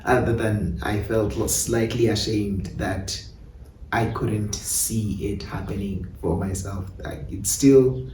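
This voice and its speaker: A South African man talking reflectively in an interview, hesitant and animated, often repeating himself. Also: lowish voice, slow pace. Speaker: playwright and director being interviewed. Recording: roomy, distant mic with faint noise.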